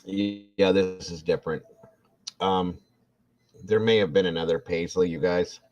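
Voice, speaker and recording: A man's voice: sits low, steady tone, unhurried, darkish tone. A man in his forties chatting casually on a livestream, reading out viewer comments and musing aloud. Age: 40s. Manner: reads out chat, chatty and musing. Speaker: livestream co-host. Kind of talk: casual live chat. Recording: dull lo-fi audio.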